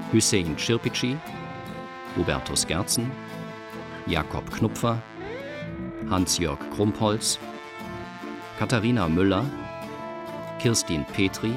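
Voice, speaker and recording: A man reading scripted radio drama credits as the announcer. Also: deep voice. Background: guitar music.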